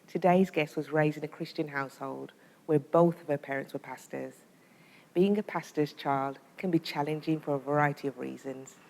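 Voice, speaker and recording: A woman's voice: low voice, moderate pace, vocal fry. A woman hosting an interview show, opening it and asking her guest questions in a casual way. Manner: warm affirming listener, frequent short "yeah"s. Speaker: host. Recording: long reverb, faint noise.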